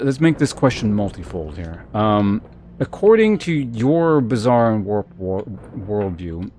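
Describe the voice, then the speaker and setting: A man's voice: mid-range voice, darkish tone. A man chatting and commentating on a live game stream in a rambling, wry way, with faint noise on the recording.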